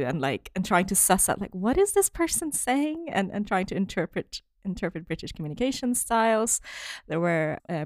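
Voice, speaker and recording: A woman's voice: on the low side, no room sound, clean studio sound. A woman from Norway in a casual workplace discussion, talking thoughtfully, correcting herself and laughing often.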